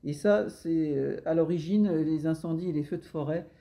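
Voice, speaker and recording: A woman's voice: sits low, moderate pace, dark tone. A woman explaining her public service work in interview answers, with formal administrative phrasing.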